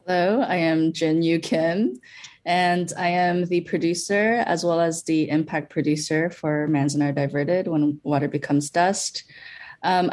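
A woman's voice: sits low, a little mellow. A woman film producer from Bolivia, raised in Los Angeles, talking casually in a podcast interview with frequent fillers and "like".

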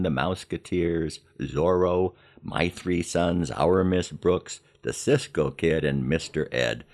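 An American man in his seventies narrating a scripted personal memoir in a wry, folksy way with deliberate pauses. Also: rumbling low, gravelly. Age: 70s. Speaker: podcast host and storyteller, retired soldier.

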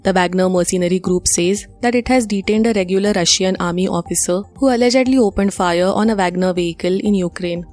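A woman's voice: medium pitch, fairly quick, hardly any pauses, darkish tone, faint music behind. A woman with an Indian accent presents scripted news updates as a newsreader.

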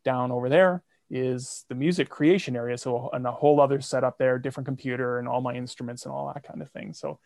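Gravelly, flat-voiced man explaining. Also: mid-range voice, fast talker, few pauses, no echo, studio quality. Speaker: podcast guest, musician. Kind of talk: casual chat. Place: home podcasting area.

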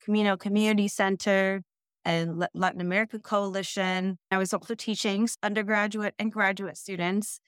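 A woman originally from the Netherlands, in her thirties, answering interview questions in a measured, explanatory way. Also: mid-range voice, level tone, clear, resonant tone, dry recording, studio quality. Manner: measured, explanatory, occasional restarts. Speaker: school district planning head.

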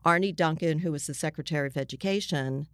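An older American woman, a journalist being interviewed, explaining things step by step with asides in a measured way. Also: low-pitched, no echo, studio quality.

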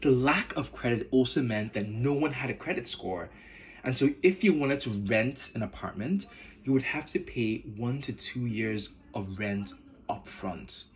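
A man from Nigeria with a Nigerian accent, hosting a podcast in measured, earnest scripted narration. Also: medium pitch. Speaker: podcast host.

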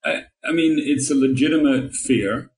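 A man giving measured, articulate interview answers as a guest financial planner, with somewhat formal wording. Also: mid-range voice, a little mellow.

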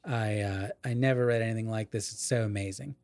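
A man's voice: lowish voice, fairly even intonation, fairly quick, darkish tone, dry recording. A middle-aged man co-hosting a podcast, casually discussing literature in an erudite, digressive way full of asides.

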